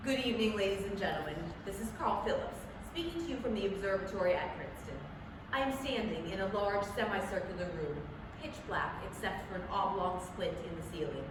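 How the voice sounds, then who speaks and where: A woman's voice: medium pitch, expressive, fairly quick, close to an everyday voice. Woman playing an earnest radio commentator, distant echoey hall. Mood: composed, formal. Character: male radio commentator Carl Phillips, earnest and composed. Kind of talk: staged reading of 1938 radio drama script. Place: staged reading in a public library room. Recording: echoey hall from far off, noisy.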